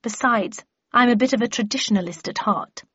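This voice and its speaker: A woman voicing a terse, traditionalist gentleman adventurer with clipped, formal speech in an audiobook. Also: a little high, fairly quick, breathy edge. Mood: self-assured. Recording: muffled audio, roomy echo.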